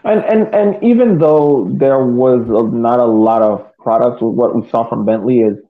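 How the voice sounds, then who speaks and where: A man giving casual match analysis as a podcast panelist, thinking aloud haltingly and repeating words. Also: medium pitch. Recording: thin, phone-like connection.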